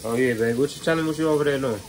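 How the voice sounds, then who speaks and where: A man's voice: mid-range voice, rapid-fire, a little mellow. A young man chatting casually to his viewers while cooking, joking and slangy, filmed on a handheld phone in a small apartment kitchen. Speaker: vlogger. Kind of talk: casual cooking vlog chat. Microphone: handheld phone camera. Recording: noisy kitchen with frying sizzle.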